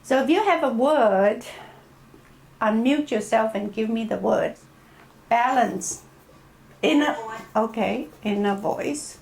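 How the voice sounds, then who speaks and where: A middle-aged woman who grew up in Malaysia, speaking English as a second language, gives an informal talk over a video call, telling stories with reflective pauses and lively emphasis on key words. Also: on the high side. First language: Chinese. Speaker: wellness speaker.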